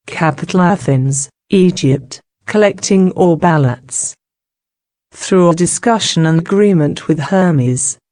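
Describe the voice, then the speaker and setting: A woman's voice: lowish voice, warm tone, dry recording, studio quality, natural voice. A woman playing a benevolent, dignified goddess of wisdom, speaking formally and with measure in a scripted drama.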